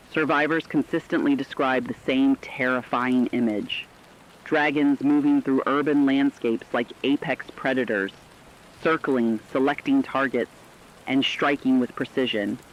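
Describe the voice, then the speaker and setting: A man playing a grave radio correspondent, giving a scripted disaster report in a serious, formal, measured manner. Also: medium pitch, dull audio, lightly acted. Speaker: news correspondent.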